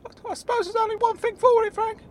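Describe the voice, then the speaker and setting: A man's voice: fairly even intonation, quick pace. A man voicing a timid, grumbling older companion who fusses and protests anxiously in a comic audio story. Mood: resigned grumbling. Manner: fussy, anxious, hushing and protesting. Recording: faint rumbling background noise.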